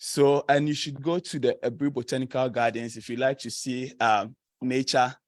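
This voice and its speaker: A young Ghanaian man gives a casual student presentation in a close, dry-sounding space. His voice sits at an ordinary middle pitch. He chats easily, laughs often and fills pauses with "uh". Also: steady tone, no echo. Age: young adult. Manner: chatty, laughs often, many fillers. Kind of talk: informal presentation to an audience.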